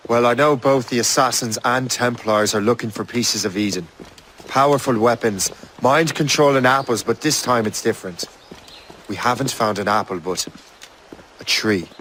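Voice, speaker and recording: A man's voice: mid-range voice, moderate pace, fairly bright tone, a little hiss or hum, lightly acted. A man playing a resolute, self-assured adventurer in a video game cutscene, speaking in a direct, firm and courteous way. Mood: grave.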